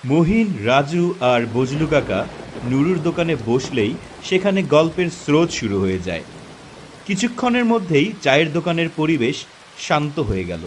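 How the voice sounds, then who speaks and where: Animated male horror-story narrator. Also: on the high side, normal speed, slight background noise. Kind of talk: dramatic scripted horror narration.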